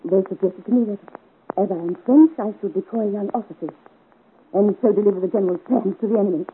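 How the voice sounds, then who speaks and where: A woman's voice: medium pitch, fast talker, natural voice. A woman acting a secretive, troubled young Parisian woman, earnest and dramatic, in 1950s radio serial style. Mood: confiding. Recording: narrow-band, hissy 1950s radio recording.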